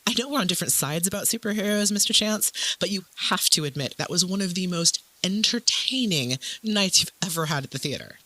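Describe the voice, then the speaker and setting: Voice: mid-range voice, animated, brisk, few pauses, bright, forceful tone, no room sound, rough recording. A performer playing an enthusiastic, articulate woman arts critic hosting a review show in an audio drama. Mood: animated, amused.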